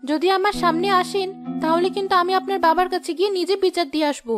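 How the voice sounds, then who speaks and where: A woman's voice: very high voice, fairly even intonation, quick pace, music in the background. A woman voicing a proper, firm teenage village girl in a cartoon, politely but firmly scolding. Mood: indignant. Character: proper, firm sixteen-year-old poor village girl. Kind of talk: Bengali cartoon voice acting.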